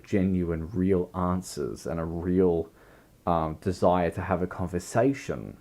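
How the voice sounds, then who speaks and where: A man with a croaky voice, hosting a livestream and musing slowly through a philosophical chat that builds into long lists. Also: low voice, mellow. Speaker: livestream host.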